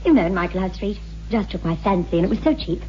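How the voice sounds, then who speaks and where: An actress playing a breezy, sceptical, chatty wife in a 1960s radio drama, airy and dismissive. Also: female voice, mid-range voice, expressive, quick pace, darkish tone, slight background noise, close to an everyday voice. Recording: muffled, humming old radio recording.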